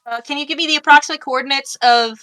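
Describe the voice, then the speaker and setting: A woman voicing a squeaky, sassy, money-minded young female hacker and streamer in an improvised tabletop game. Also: fairly even intonation, fast talker, bright, forceful tone, low-quality recording. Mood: sweet, sassy. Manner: sassy, calls people hun and honey.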